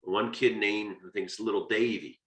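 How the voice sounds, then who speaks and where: An American man lectures on literature in a lowish, crisp-edged, bright voice. He talks conversationally, leaning on key points and tossing in rhetorical questions. The room around him rings with noticeable echo. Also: fairly even intonation, moderate pace. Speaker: literature lecturer and video host. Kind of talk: informal explanatory lecture.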